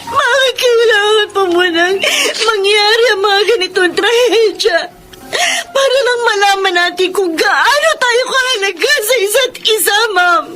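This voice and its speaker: An anguished Filipino daughter in a radio drama, crying as she speaks. The voice is extremely high and piercing, over faint music. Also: a little slow, long pauses, bright, forceful tone, heavily acted. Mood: anguished, tearful. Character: anguished, tearful grown child confronting mother. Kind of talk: Tagalog radio drama acting.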